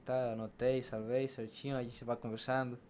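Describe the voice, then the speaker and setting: A young Brazilian man speaks as a podcast guest in a medium-pitched voice. He recounts personal experiences casually, slipping into quoted dialogue as he goes. There is noticeable background noise around him. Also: flat delivery, moderate pace, a little mellow. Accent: Brazilian Portuguese. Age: young adult. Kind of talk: casual personal storytelling in an interview.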